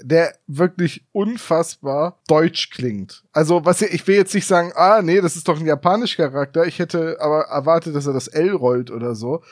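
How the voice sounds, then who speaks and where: A man co-hosting a casual podcast chat, explaining details in a nitpicky way with deadpan jokes. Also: a little high, normal speed, few pauses, dry recording, clean studio sound. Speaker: podcast co-host. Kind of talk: casual chat reviewing an audio drama.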